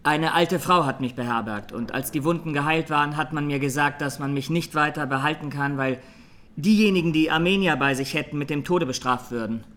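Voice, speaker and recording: An actor playing a young Armenian massacre survivor giving halting, sombre testimony in a radio drama. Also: male voice, a little high, a bit bright, lightly acted. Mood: sober recounting.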